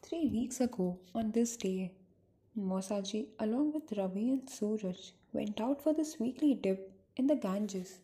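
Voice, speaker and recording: A woman's voice: mid-range voice, expressive, a little slow, mellow. A woman playing a worried, earnest niece burdened by a sad secret in an amateur radio drama. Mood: sorrowful. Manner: earnest, grave.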